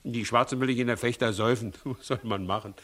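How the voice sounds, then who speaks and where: An actor playing a blustering, scheming village judge in a theatrical 1960s German radio comedy, given to exclamations. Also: male voice, mid-range voice, fairly even intonation, fast, fairly bright tone, close to an everyday voice. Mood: excuse-making. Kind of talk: 1960s German radio play acting, classical stage comedy.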